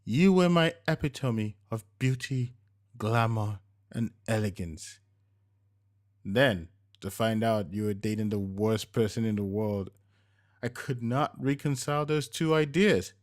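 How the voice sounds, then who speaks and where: A man's voice: fairly low pitch, a little slow, lightly acted. A man voicing a playful, affectionate middle-aged wife who teases, in a narrated story. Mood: earnest.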